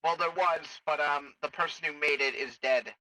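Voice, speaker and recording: A man playing a blunt, matter-of-fact military medic in improvised sci-fi game roleplay, explaining things haltingly. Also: a little high, steady tone, bright, forceful tone, no room sound, poor audio.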